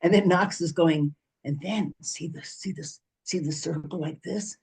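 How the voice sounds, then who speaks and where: A man's voice: fairly high pitch, unhurried, gravelly, darkish tone, husky. A man on an arts panel telling a rambling anecdote, full of "so" and "you know". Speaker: panelist, arts organization president.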